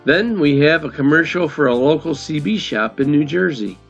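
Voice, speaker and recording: A man's voice: a little high, expressive, moderate pace, vocal fry, over music. A man narrating as the host of a video in a casual, scripted style. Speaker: host introducing vintage commercials. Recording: dull lo-fi voice recording.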